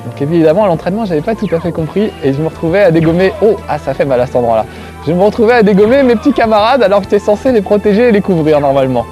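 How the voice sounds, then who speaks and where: A man's voice: sits high, expressive, rapid-fire, warm tone, soft background music. Joking French-speaking man with a Corsican accent. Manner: joking, self-mocking, chatty. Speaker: video game show host. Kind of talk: casual gameplay commentary to camera. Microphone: close framing, tight on face.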